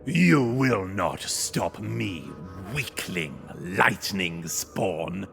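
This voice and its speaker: A gravelly evil ghost knight for a video game, super dramatic, swings all over the place, slow and arrogant. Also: male voice, low voice, animated, fairly bright tone, faint music behind, full character voice. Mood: menacing triumph. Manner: grandiose, menacing theatrical proclamations. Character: arrogant undead ghost knight, pitiless servant of death. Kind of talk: villain acting in a fantasy game cutscene.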